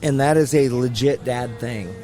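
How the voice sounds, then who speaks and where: A man's voice: medium pitch, normal speed, faint music behind. A man in his late thirties, a podcast guest, teasing the hosts in rambling casual banter outdoors. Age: 30s. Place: outdoors by a racetrack with a crowd. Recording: loud crowd noise outdoors.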